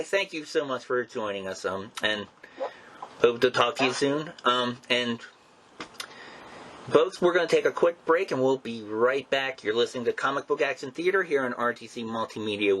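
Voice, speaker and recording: A man hosting a show in a casual, informal way, with a halting, rambling delivery. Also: medium pitch, animated, fairly bright tone, slight background noise. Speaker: show host and interviewer. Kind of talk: casual hosting and commentary on comics history.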